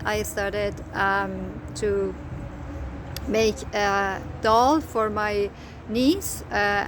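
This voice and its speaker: Middle-aged persian-accented lady chatting slowly about her crafting, lots of uh's, some noise behind her. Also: medium pitch. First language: Farsi. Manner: hesitant, many fillers and restarts. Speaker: crochet designer. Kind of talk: casual personal story to camera. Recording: noticeable background noise.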